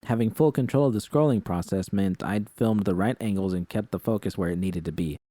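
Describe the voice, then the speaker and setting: A man's voice: fairly low pitch, brisk, mellow, no echo, clean studio sound. A man reading scripted first-person fiction as an audiobook narrator.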